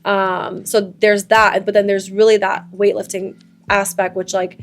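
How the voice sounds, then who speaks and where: A woman's voice: mid-range voice, level tone, a little slow. A young woman whose first language is Farsi, co-hosting a podcast in a casual, earnest chat and speaking eagerly about fitness. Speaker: podcast co-host.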